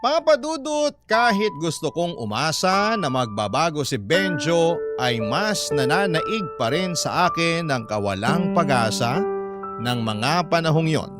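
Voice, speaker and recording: A man reading a letter as a drama narrator, in a measured, sentimental, scripted manner. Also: on the high side, very animated, over loud music.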